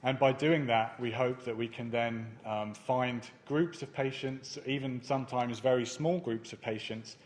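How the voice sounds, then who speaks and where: A man presenting his research at a conference, speaking in a measured way with frequent ums and uhs. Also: mid-range voice, steady tone. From not Germany. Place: conference hall.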